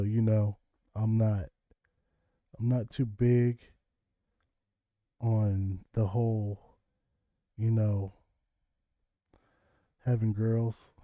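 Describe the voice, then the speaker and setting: Southern US man, low and very mellow voice, loose rambling vlog monologue. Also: dry recording, studio quality. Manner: rambling, chuckles often. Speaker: vlogger. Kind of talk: casual monologue.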